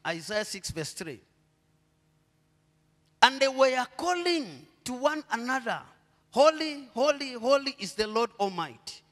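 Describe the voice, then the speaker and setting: Zambian man, pastor, high voice, lively pitch, handheld mic, church hall reverb. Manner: preaching with call-and-response questions to the congregation; swings between low murmurs and loud drawn-out proclamations. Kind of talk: sermon. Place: church stage, indoors.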